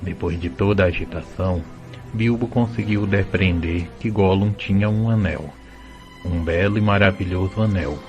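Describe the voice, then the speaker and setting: A man with a Brazilian accent narrates a fantasy audio drama as a storyteller. His voice is fairly low, and soft music runs behind the reading. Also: normal speed, highs cut off.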